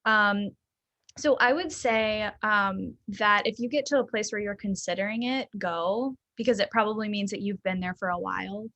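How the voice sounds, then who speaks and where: A young adult woman from the American South, a therapist talking casually and thoughtfully in an interview, with frequent ums and soft laughs. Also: mid-range voice, normal speed, dry recording. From Southern United States.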